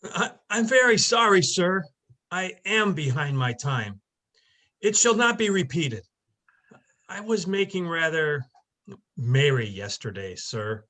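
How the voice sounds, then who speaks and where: Man playing meek clerk, radio drama, slow, bright, lively pitch, deferential stammer. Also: heavily acted. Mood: apologetic. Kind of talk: theatrical radio play dialogue.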